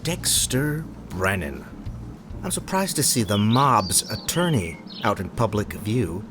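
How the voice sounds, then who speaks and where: An actor playing a proud, sarcastic former police chief in a radio drama, with a dry delivery. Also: male voice, on the low side, very animated, slow, over music. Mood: scornful. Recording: outdoor ambience with birdsong.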